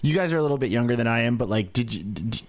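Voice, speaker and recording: A man's voice: mid-range voice, expressive, rapid-fire. A man in his thirties hosting a podcast, explaining things in a conversational way. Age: 30s. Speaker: podcast host.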